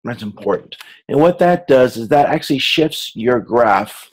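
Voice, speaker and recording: Man giving a halting, informal lecture. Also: medium pitch, lively intonation, breathy edge. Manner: halting, repeats and rephrases himself as he explains. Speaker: university course lecturer.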